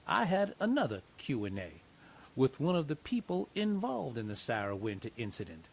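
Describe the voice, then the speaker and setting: Male lead, wry narrator-investigator, lively delivery, light hiss. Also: mid-range voice, normal speed, vocal fry. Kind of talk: radio drama narration and acting.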